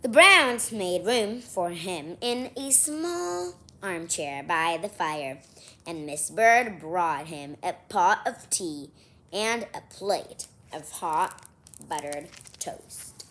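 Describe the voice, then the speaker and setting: A woman's voice: fairly low pitch, very animated, takes it slowly, a bit bright. A woman reading a children's story aloud expressively, with casual, chatty asides to her listeners. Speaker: storybook reader.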